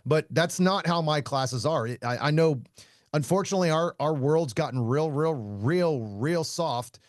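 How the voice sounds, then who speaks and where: A man who hosts a podcast and teaches shooting, talking casually in a loud voice, blunt, laughing often and swearing freely. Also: fairly high pitch, a little slow, dry recording, clean studio sound. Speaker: shooting instructor and podcast host.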